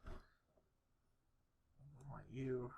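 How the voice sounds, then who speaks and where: Someone giving an informal editing walkthrough, talking haltingly and muttering to themselves while working. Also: mid-range voice, steady tone, vocal fry, dark tone, muffled recording. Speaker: tutorial creator. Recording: noisy home recording, keyboard and clicks. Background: mouse clicks and keyboard typing.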